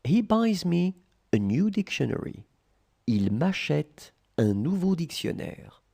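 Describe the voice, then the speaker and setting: A language tutor voice reading short phrases in slow dictation, repeating each one and splitting it into chunks. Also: mid-range voice, big pitch swings, gravelly, warm tone, slightly rough.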